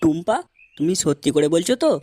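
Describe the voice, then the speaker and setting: A man's voice: fairly high pitch, lively intonation, fast talker, heavy background noise, natural voice. A man voicing a blunt, brash village man in a crude comedy cartoon, speaking colloquially. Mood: eager. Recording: music laid under the voice.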